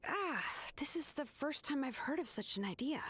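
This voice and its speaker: Cool, sharp young craftswoman, higher-pitched. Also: lively intonation, moderate pace. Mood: probing, composed. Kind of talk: video game voice acting.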